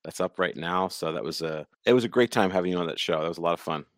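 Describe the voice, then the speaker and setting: A man, an actor guesting on a podcast, chatting easily about film awards, his voice still deep and rough after covid. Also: fast, dry recording, clean studio sound.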